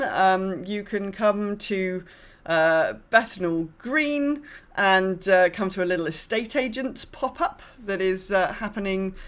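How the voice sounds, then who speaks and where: A woman co-hosting a podcast in casual chat, talking in a halting, self-deprecating way. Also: mid-range voice. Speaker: podcast co-host.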